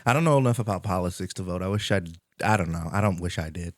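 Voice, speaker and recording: A man's voice: low voice, animated, quick pace, no echo. A young man hosting a chatty podcast panel. He talks in a laid-back way, restarting sentences and chuckling. The sound is dry and close, with no room around it. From Indiana, US. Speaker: podcast host. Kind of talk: casual podcast chat.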